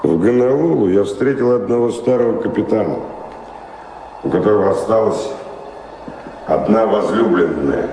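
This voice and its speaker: An actor in an audio drama playing an evasive, weary, dreamy middle-aged wanderer who speaks in a measured, reflective way with pauses. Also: male voice, on the low side, noisy background. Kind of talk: theatrical audio drama dialogue. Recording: reverberant stage-like sound, loud music behind.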